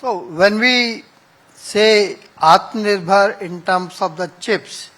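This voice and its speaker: A man with a high voice explains a topic on a panel. His tone is bright, and he keeps checking in with the listener as he goes. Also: poor audio. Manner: explanatory, frequent "right?" tags. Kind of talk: panel discussion.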